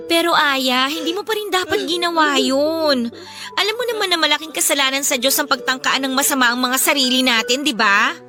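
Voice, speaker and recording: An actress with a Filipino accent playing a concerned, preachy young best friend in a radio drama, earnest and emphatic, over music. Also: female voice, sits high, fairly quick, pushed and bright. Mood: earnest scolding.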